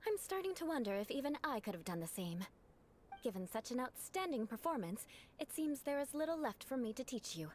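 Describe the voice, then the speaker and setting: Posh haughty lady knight from a game, kinda high voice, very expressive, formal. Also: a little fast, slight background noise. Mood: composed, approving. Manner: formal, aloof, theatrical. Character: haughty elegant young noblewoman knight, vengeful yet caring.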